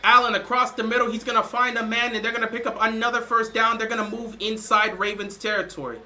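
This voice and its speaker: A young man doing casual play-by-play commentary on a live stream, excitable, calling each play and then chatting loosely. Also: very high-pitched, fast talker. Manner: excitable, calls plays then chats loosely.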